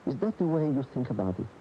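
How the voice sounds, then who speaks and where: A man acting in a radio drama as a weary, brooding, intense bullfighter, halting and earnest, repeating his words. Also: mid-range voice, slight background noise, lightly acted. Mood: longing, sardonic. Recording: muffled radio broadcast tape.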